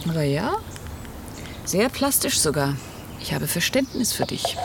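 An actress playing an exasperated, sharp-tongued woman in a German radio drama, curt and sarcastic. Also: low-pitched, big pitch swings, unhurried, vocal fry, very noisy, heavily acted.

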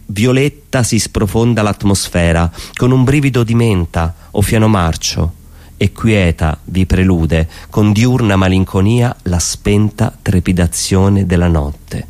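A man reciting poetry in a solemn, dramatic style, delivering it phrase by phrase with measured pauses. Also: fairly low pitch, darkish tone. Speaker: poetry performer.

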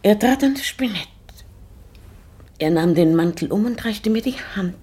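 An actress playing a pious widowed lady in a German radio play, brooding and searching her memory with halting, formal diction and a breathy voice. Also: mid-range voice, expressive, mellow. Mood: searching, anguished.

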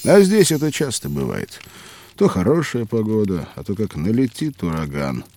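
An actor playing a hearty, hospitable mountaineer in an audio drama for children, speaking expressively. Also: male voice, lowish voice, sing-song, normal speed, dark tone. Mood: earnest, animated. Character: hearty hospitable mountaineer by a campfire below Everest. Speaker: mountaineer character.

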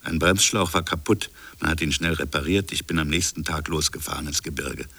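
Radio drama colonial official: low, brooding, insistent narrator of his own inquiry, close dry sound. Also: a little fast, creaky, sharp tone, dry recording, heavily acted. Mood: matter-of-fact, defensive. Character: colonial official recounting his stubborn private investigation. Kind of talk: radio drama dialogue and first-person narration.

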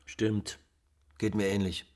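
An actor playing a matter-of-fact, needling bereaved man in a radio drama, with a husky voice and a dry, casual manner. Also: fairly low pitch, flat delivery, slow, darkish tone. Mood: plain, reminiscing.

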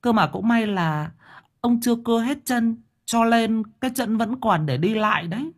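A fussy, chattering old village wife who pushes her voice way up high. Her whining swoops all over the place, and a husky breathiness runs through it. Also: expressive, normal speed. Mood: fretful. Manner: wailing, rambling, high-pitched whine.

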